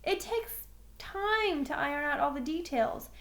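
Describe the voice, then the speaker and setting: Woman as a nervous high-voiced gamer boy. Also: lively intonation, unhurried, fairly bright tone, heavily acted. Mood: uneasy, evasive. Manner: hesitant, picks words carefully. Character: evasive, nervous eighth-grade gamer boy. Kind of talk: storybook character voice.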